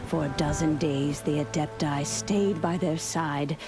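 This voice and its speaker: An actress voicing a dignified, solemn ancient immortal woman who tells old tales in a stately, measured game-dialogue manner. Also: low-pitched, fairly even intonation. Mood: solemn, storytelling. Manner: stately, measured, storytelling delivery. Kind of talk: scripted video game storytelling. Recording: music under the voice. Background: background music.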